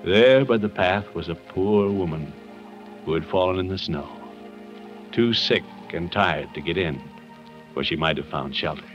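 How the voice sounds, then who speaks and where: An American actor playing a kindly, jovial middle-aged uncle in a 1950s radio comedy, with an avuncular, theatrical storyteller's delivery. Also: male voice, low-pitched, measured pace, soft background music. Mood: gentle storytelling. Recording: muffled old 1950s radio broadcast.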